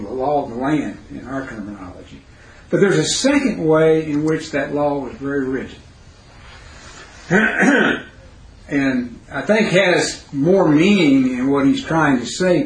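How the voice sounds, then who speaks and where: Male bible teacher, raspy hoarse voice, halting and questioning, roomy classroom, faint background hiss. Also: mid-range voice. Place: classroom, indoors. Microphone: recorder set near the speaker.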